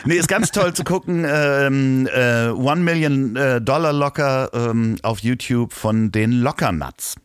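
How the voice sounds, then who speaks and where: A man's voice: mid-range voice, measured pace, few pauses, smooth, dry recording, studio quality. A man with a Hamburg German accent chatting casually as a podcast co-host, slurring the odd word. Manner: slurs some words. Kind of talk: casual chat.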